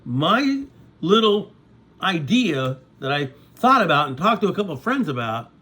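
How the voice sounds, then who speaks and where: A man's voice: a little high, very animated, moderate pace, pushed and bright, breathy edge. A man in his sixties talking to his viewers in a rambling casual monologue, often stopping to ask "okay?". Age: 60s. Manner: conversational, repeats "okay?" to check in with viewers. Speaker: vlogger.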